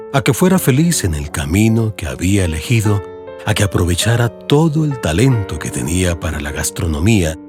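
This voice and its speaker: Male narrator, low register and expressive melody, measured dramatic literary delivery with clear music underneath. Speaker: audiobook narrator. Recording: music behind the voice.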